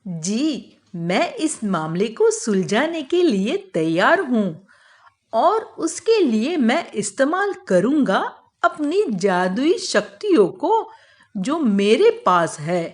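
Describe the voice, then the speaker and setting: A woman voicing a clever, self-assured male royal adviser in a children's moral cartoon, speaking in a measured, explanatory manner. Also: a little high, sing-song.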